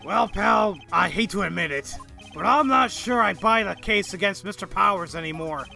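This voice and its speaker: A man voicing a gruff, goofy, good-natured police detective with a folksy, theatrical manner in a game fandub. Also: high-pitched, moderate pace, sharp tone, faint music behind, heavily acted. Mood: earnest. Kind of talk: video game fandub voice acting.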